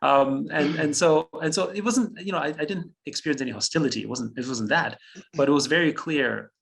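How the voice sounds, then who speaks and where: A man's voice: fairly high pitch, a little fast, creaky, fairly bright tone. A German-speaking man in his fifties from Austria, a guest on an interview, telling his story reflectively, chuckling often and restarting phrases.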